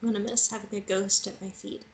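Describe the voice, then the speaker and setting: A woman's voice: mid-range voice, flat delivery, fast, a little mellow, slight background noise, lightly acted, low-quality recording. Woman acting torn, emotional young romantic heroine. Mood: wistful. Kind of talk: dramatic table read acting.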